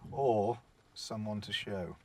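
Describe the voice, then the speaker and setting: Seasoned surveillance officer, radio drama, male actor, British. Slightly low register, measured, wry, world-weary mentor.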